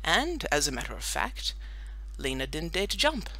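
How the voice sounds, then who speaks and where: Woman reading a kids audiobook, super bright crisp tone, lots of up and down, dry sound. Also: low voice, wildly swooping pitch, normal speed, no echo. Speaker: audiobook narrator. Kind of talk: expressive scripted children's story narration.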